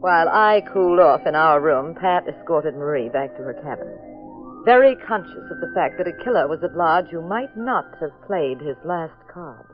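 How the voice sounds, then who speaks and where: An actress playing a detective's jealous, witty wife in an old radio mystery, with an arch, teasing delivery. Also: female voice, deep voice, animated, normal speed. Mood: narrating. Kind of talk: 1940s radio mystery acting. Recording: muffled old radio recording, faint music.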